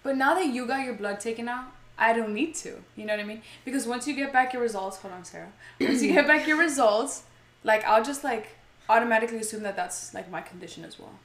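A woman's voice: a little high, fast talker, echoey room. A woman around twenty co-hosting a podcast, joking and teasing in casual chat. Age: 20s. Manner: teasing, jokey. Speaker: podcast co-host.

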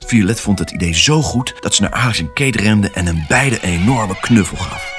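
A man with a fairly low voice narrates a children's audio story. He reads as a scripted storyteller, with a music bed beneath him. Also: lively intonation, normal speed, a bit bright.

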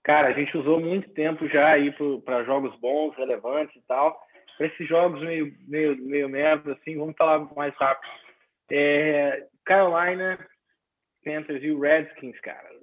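A man's voice: a little high, fairly even intonation, a little slow. Joking man on a tinny line. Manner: joking, rambling with restarts. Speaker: podcast co-host, NFL picks. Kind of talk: casual sports chat.

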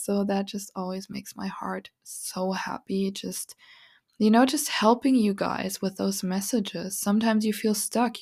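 A woman hosting a spiritual podcast, talking in an earnest, encouraging, rambling way with a raspy voice. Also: medium pitch, a little mellow. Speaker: podcast host and psychic reader. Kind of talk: casual spiritual podcast monologue.

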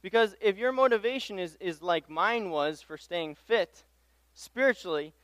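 A young man speaks from the pulpit in a high-pitched, smooth voice, recorded close and dry. His sermon is conversational and self-deprecating, built on personal anecdotes, and he often circles back over his own phrases. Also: moderate pace, a bit bright, dry recording. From Tanzania. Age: young adult. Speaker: pastoral intern preaching as guest speaker. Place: church pulpit.

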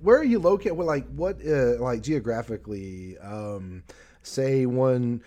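A man's voice: mid-range voice, animated, measured pace, warm tone. An American man hosting a casual interview podcast, talking in a rambling, hesitant way. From Arkansas, United States. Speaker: podcast host.

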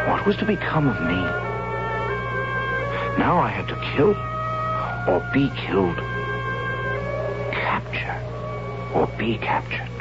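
An uneasy young warrior tells his own story in an earnest, hesitant way. His voice sits fairly low. The old broadcast is dull and lo-fi, with music behind. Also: exaggerated voice. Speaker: narrator and lead character. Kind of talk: 1970s radio drama narration.

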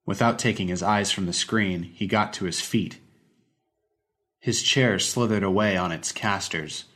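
A man's voice: on the low side, measured pace, bright, forceful tone. A man reading a scripted short story as an audiobook narrator.

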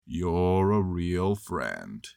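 A man voicing a gloomy old grey male donkey, slow and sighing, in a storybook reading. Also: low-pitched, sing-song, vocal fry, warm tone, dry recording, clean studio sound, full character voice.

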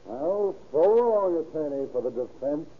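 Tinny-sounding, self-serving radio crook. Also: male voice, a little high, very animated, measured pace. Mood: smug. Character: smug, self-serving crook who turned state's evidence. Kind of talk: 1930s radio acting. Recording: tinny old radio recording.

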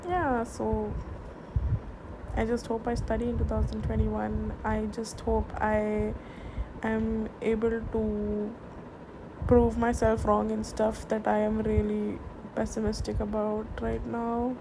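Young woman, hushed late-night ramble, slightly high, unhurried, noisy hiss behind. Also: monotone, creaky. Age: young adult. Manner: hushed, rambling, many fillers and restarts. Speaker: vlogger. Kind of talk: late-night casual chat. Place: home at night.

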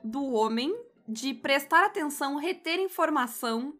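A woman's voice: high voice, lively intonation, measured pace, bright, forceful tone, soft background music. A Brazilian woman co-hosting a podcast in casual, bantering chat, telling stories in a playfully bossy way. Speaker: podcast co-host.

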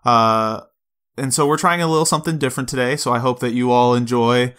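A man's voice: medium pitch, steady tone, brisk, a bit bright, smooth. A man hosting a gaming podcast, chatting in a casual, informal and explanatory way. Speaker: podcast host. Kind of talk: casual podcast chat about retro video games.

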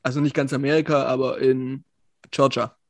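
A man's voice: mid-range voice, flat delivery, normal speed, no room sound. A young man co-hosting a podcast, chatting casually with friends in colloquial slang. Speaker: podcast co-host. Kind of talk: casual chat among friends.

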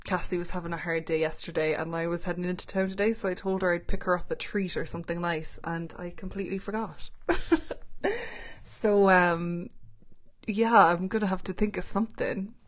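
Woman podcast host, chatty and self-mocking, slightly low pitch, casual rambling delivery, video call mic. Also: steady tone, moderate pace. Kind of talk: casual chat. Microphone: own mic over a video call.

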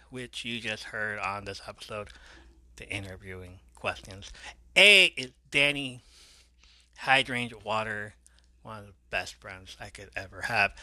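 A man hosting a podcast, giving relaxed, rambling shout-outs to listeners and reading out their names in a voice he says people find soothing. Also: medium pitch, dry recording. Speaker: podcast host.

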